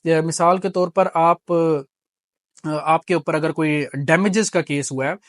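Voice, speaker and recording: A man's voice: on the high side, fairly even intonation, slow, no echo, studio quality. A man with a Pakistani accent giving an explanatory law lecture, mixing in English terms and teaching didactically through examples and repeated key points.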